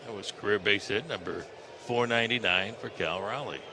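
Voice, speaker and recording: A man's voice: lowish voice, brisk, noisy background. A man with an American accent giving conversational color commentary on a live baseball broadcast. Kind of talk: live sports analysis, conversational.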